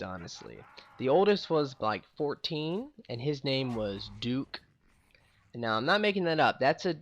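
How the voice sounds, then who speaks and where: A young man, a gaming channel host, casually telling a childhood story with lots of "like" and "you know", jumping to loud bursts and trailing off quietly. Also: mid-range voice.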